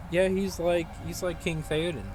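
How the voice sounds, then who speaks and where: A man's voice: on the high side, little pitch movement, normal speed, poor audio. Casual sweary man rambling over music. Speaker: game streamer and commentator. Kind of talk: casual rambling stream chat.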